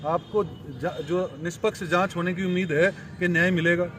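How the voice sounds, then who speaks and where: A man's voice: high-pitched, level tone, moderate pace, a bit bright. A male reporter putting short, probing questions at an outdoor press interview.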